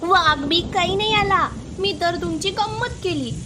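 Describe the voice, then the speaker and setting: Mischievous young shepherd boy, played by a woman: high-pitched, playful storybook troublemaker with faint background noise. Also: measured pace. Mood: teasing. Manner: shouting alarms, teasing villagers.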